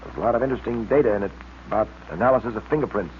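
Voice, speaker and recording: A man playing steady radio detective, tinny vintage recording. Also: lowish voice, rapid-fire, lightly acted. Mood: calm, quiet. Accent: American. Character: calm, square-jawed heroic male police detective. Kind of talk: 1940s radio serial acting. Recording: scratchy old-time radio recording, narrow band, hiss.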